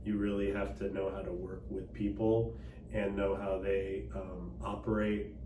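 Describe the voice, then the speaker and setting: A man from Texas, Cantonese his first tongue, talks in a low, level voice with easygoing fillers. He sounds distant from the mic in an echoey room, with clear background noise underneath. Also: measured pace. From Dallas, Texas. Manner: relaxed, conversational, frequent fillers. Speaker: political candidate and attorney. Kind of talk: casual interview conversation.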